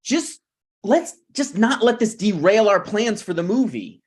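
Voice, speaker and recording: A man acts out a glib, self-serving friend in a comedy table read. His intonation is very lively. He talks hesitantly yet stays shamelessly unbothered. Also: high-pitched, a little slow, slightly rough, heavily acted. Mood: glibly pleading.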